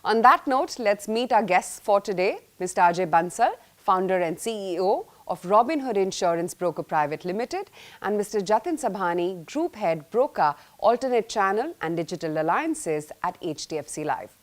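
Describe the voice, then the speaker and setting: A woman's voice: medium pitch, very animated. A woman with an Indian English accent presenting a scripted business news segment as anchor. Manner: polished, measured anchor delivery.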